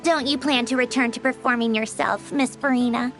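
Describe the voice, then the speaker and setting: Woman acting a gracious, high-voiced young woman. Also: fairly even intonation, faint music behind, lightly acted. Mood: courteous. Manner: courteous, measured, sincere. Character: gracious, composed, reflective young woman from abroad. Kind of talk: video game voice acting.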